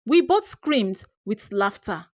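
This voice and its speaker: A woman with a Nigerian accent telling a scripted first-person story in an expressive, theatrical way. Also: mid-range voice, slow, no echo, studio quality. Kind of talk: dramatic first-person scripted folktale narration.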